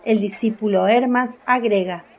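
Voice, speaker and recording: A woman narrating a radio drama in a measured, clear storytelling voice. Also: medium pitch.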